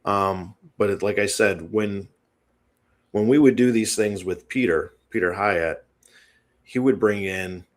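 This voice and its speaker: A man's voice: fairly low pitch, a little slow. A man guest on an interview show, explaining a written analysis in a tentative, thinking-aloud way.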